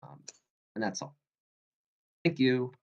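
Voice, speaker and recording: A man's voice: mid-range voice, expressive. A hesitant man giving casual feedback in a script workshop, on dull lo-fi call audio. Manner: hesitant, halting. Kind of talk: casual spoken critique.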